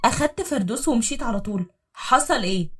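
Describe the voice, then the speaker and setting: A woman's voice: on the high side, animated, quick pace, fairly bright tone, far from the mic, pitched above the performer's own voice. A woman with an Egyptian accent voicing an angry, jealous husband who hurls harsh accusations. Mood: cold, curt. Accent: Egyptian Arabic. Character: angry jealous husband, middle-aged man.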